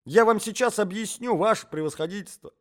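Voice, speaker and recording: A man's voice: fairly high pitch, animated, normal speed, darkish tone, natural voice. A man playing a hapless, flustered fellow in a story who pleads obsequiously and babbles in a whine. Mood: pleading.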